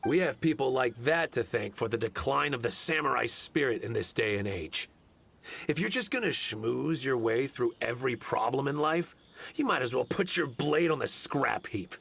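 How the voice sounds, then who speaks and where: An actor playing a stern, disdainful samurai guard in a video game, gruff and pointed in his delivery. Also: medium pitch, lively intonation, normal speed, a bit bright, exaggerated voice. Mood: scornful grumbling. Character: stern, disdainful male samurai guard, sarcastic and old-fashioned.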